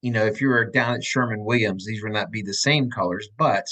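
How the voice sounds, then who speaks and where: A man's voice: lowish voice, a little fast, bright, forceful tone. A man hosting a casual podcast over a video call, telling joking, rambling stories. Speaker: podcast host. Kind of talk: casual chat. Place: room at home.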